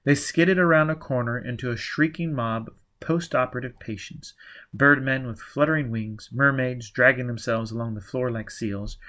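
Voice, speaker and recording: A man narrates a novel as an audiobook reader, with a middle-pitched voice. He delivers the prose as dramatic, scripted storytelling. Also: moderate pace, bright, forceful tone.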